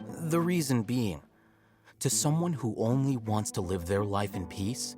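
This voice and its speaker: An aloof scholar in a game, low-voiced and self-assured. He speaks in a measured, matter-of-fact, faintly condescending way, with music clearly behind him. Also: animated. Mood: explaining. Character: aloof, rational young male scholar, coolly self-assured. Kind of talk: video game voice acting.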